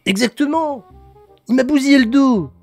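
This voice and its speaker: A man's voice: very high voice, moderate pace, soft background music, pitched above the performer's own voice. A man voicing a tough, injured male wrestler who talks bluntly and in pain. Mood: recounting.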